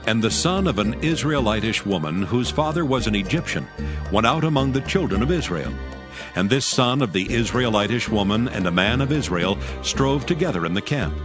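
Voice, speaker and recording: A man's voice: fairly low pitch, expressive, fairly quick. A man narrating scripture in a formal, solemn storytelling manner from a script. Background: music.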